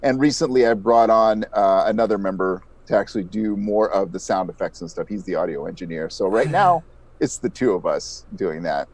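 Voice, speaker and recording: A man's voice: fairly low pitch, fairly quick, hardly any pauses, a little mellow. A man speaking as an interview guest, explaining things modestly in a conversational way. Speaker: interview guest, audio drama podcast creator.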